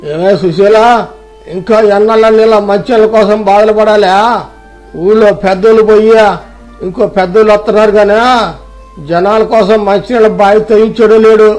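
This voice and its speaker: A man's voice: high-pitched, little pitch movement, brisk, darkish tone, faint music behind, close to an everyday voice, poor audio. A man playing a weary, grumbling village man with speech in a moral story. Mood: weary complaint. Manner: complaining, colloquial rustic speech. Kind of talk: Telugu moral story audio drama.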